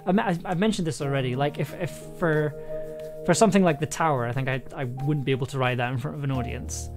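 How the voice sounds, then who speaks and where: A woman chatting casually on a livestream as she writes aloud, halting and repeating herself as she thinks. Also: very deep voice. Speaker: audio drama writer and live streamer. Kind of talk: casual livestream chat while writing aloud. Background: music.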